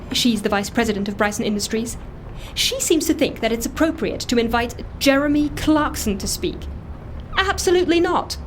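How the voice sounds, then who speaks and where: A woman's voice: fairly high pitch, a little hiss or hum, close to an everyday voice. An actress playing an anxious, self-justifying woman in an audio drama, telling her story in a fussy, emphatic, defensive way. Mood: impassioned, indignant. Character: anxious, self-justifying woman confessing impersonation, earnest dog lover. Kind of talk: audio drama dialogue, first-person confession.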